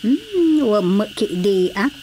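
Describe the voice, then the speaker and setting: A woman's voice: mid-range voice, big pitch swings, takes it slowly, mellow. A woman with an Ilocano accent playing an anxious, nagging woman in a radio drama. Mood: worried. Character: anxious, scolding woman fussing over an ailing drinker. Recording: faint background noise.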